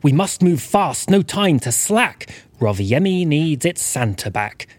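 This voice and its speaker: A desperate ex-Santa turned crook acted out by a man pleads in rhyme. His delivery is theatrical and lively, pitched above everyday talk, in a comic audio drama. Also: measured pace, no echo. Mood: urgent.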